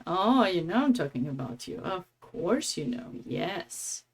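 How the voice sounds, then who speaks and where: A woman's voice: lowish voice, sing-song, measured pace, husky. A woman from Romania with a Romanian accent, giving casual, chatty live game commentary as a streamer, with affectionate asides.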